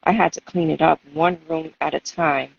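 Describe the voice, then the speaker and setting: A woman with a husky, creaky voice speaking expressively and in a measured way, as a poet reading and chatting. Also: deep voice, steady tone, no echo. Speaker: poet and guest reader. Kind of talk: spoken-word poetry reading and casual chat. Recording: dull, lo-fi audio.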